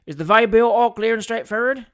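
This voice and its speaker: A man's voice: extremely high pitch, brisk, a bit bright, clear, resonant tone, voice pushed up. A man voicing a grave, blunt older coachman with rustic, ungrammatical speech and dry humour, in a comic Victorian audiobook. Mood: inquisitive.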